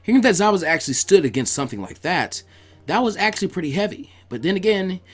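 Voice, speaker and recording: A man reading fan fiction aloud as an expressive story narrator. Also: sits high, fast talker.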